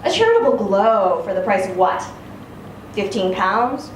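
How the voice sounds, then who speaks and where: A woman giving a live dramatic reading of a literary story, phrasing it expressively and deliberately with pauses. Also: medium pitch, big pitch swings. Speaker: story reader. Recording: very echoey room, distant mic, faint noise.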